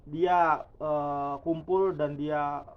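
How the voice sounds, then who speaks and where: A man's voice: fairly high pitch, steady tone, drawn-out words, smooth. A man in his late twenties talking casually and reflectively to his viewers in a vlog. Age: 20s. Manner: chatty, reflective, addresses viewers as guys. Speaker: YouTuber. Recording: dull lo-fi sound.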